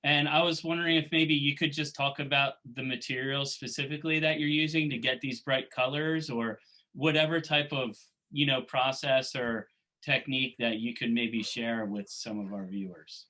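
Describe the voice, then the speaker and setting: A man's voice: mid-range voice, steady tone, normal speed, sharp tone, poor audio. A man hosting an interview, asking long, rambling questions with frequent restarts. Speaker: interview host.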